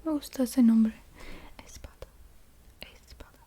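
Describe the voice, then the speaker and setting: A woman doing soft-spoken ASMR, casually rambling in a breathy whisper with a hesitant, playful manner and softly repeated words. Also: a little high, fairly even intonation, slow. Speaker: ASMR creator.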